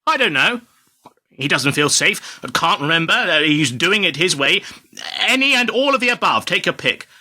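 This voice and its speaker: Radio play spymaster, male actor: bright, hard-edged voice, brusque, impatient, dryly sardonic. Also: sits high, big pitch swings, normal speed, exaggerated voice. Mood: exasperated. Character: brusque, cynical British intelligence chief.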